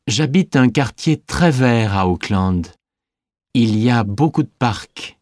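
Guy acting as young french man answering scripted interview qs, really slow n clear, dry sound. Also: medium pitch, animated, a little mellow, dry recording. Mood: friendly, measured. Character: young French man living in New Zealand, sporty. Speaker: interviewee in a language listening exam.

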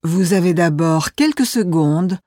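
A woman's voice: on the low side, animated, unhurried, dark tone, no echo, clean studio sound. A woman reading a scripted listening exam as interviewer and narrator, enunciating carefully.

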